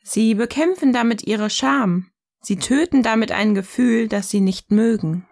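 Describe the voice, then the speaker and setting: Eine Frau spielt in einem Hörspiel eine provokante, ironische Gesprächspartnerin, die bedächtig und neckend philosophiert. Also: female voice, on the high side, a little slow, creaky, a little mellow. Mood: musing, ironic. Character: provocative, ironic woman sparring in philosophical dialogue. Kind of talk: scripted audio drama dialogue, philosophical banter.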